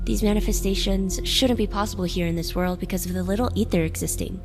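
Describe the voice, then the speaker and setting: A woman's voice: fairly low pitch, quick pace, close to an everyday voice. A woman playing an earnest otherworldly visitor who stands her ground, in an audio drama. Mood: composed, puzzled. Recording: music under the voice.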